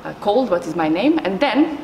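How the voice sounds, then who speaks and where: A woman's voice: medium pitch, very animated, fairly quick, mellow. A woman telling a casual personal anecdote. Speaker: youth network member. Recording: very echoey hall, faint noise.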